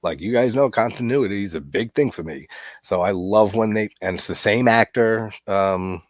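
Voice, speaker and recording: A low-pitched man in his thirties talks through a horror movie review in a loose, rambling way, leaning on fillers and the odd curse. His nose is stuffed up from a cold. The recording is close and dry, with no room sound. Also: moderate pace, dry recording. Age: 30s. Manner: rambling, filler-heavy, swears casually. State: congested, getting sick. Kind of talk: casual movie commentary.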